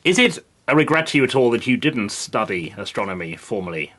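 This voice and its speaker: Male interviewer, measured, asks long questions built up bit by bit, mid pitch. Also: big pitch swings, fairly bright tone.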